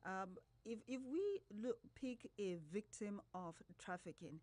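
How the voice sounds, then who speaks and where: A woman's voice: medium pitch, expressive, takes it slowly, darkish tone, dry recording. Nigerian woman hosting a radio interview. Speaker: radio host. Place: radio studio.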